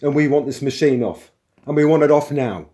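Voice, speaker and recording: A man's voice: a little high, a little fast, mellow, pitched above the performer's own voice, close to an everyday voice. A man voicing an irritable older philosopher in an audiobook, officious, insistent and indignant.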